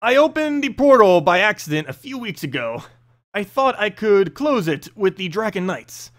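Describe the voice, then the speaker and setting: Gruff older knight general, acted by a male reader, curt and sardonic, animated pitch swings, fantasy storybook. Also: moderate pace. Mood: weary, exasperated.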